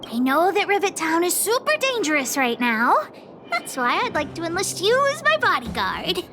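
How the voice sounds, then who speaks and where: A woman voicing a bossy, excitable little girl who leads a gang of kids, self-important and cheeky, in a video game. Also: very high-pitched, normal speed, exaggerated voice. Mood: scheming, indignant. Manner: self-important, cheeky, refers to herself by name. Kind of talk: video game character voice acting. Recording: music under the voice. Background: background music.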